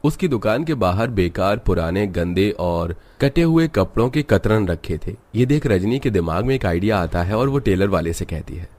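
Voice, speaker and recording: A man's voice: fairly low pitch, lively intonation, normal speed, hardly any pauses, darkish tone. A man narrating a scripted cartoon story.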